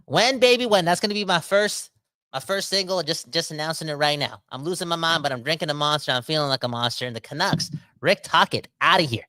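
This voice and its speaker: A man's voice: on the high side, fast, no echo. A man, hosting a podcast livestream, reading viewer comments and ranting in casual slang. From Canada. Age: 30s. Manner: animated, rambling, slangy, talks straight to the audience. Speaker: podcast host reading live chat comments.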